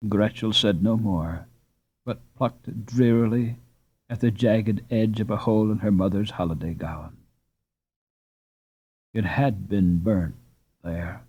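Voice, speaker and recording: A man narrating a children's classic audiobook in a measured, unhurried reading. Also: fairly low pitch, husky, narrow, tinny audio. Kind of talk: scripted storybook narration.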